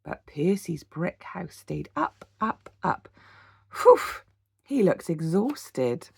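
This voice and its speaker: A British woman, a teacher, reading a children's storybook aloud in an animated bedtime-story style. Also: fairly low pitch, takes it slowly, creaky, husky, no room sound. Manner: animated, with gasps and sound words.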